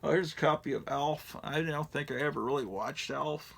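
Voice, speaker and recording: A man's voice: fairly high pitch, normal speed, fairly bright tone. A middle-aged man in a casual, rambling show-and-tell, profane and digressive with a self-mocking streak. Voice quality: gravelly.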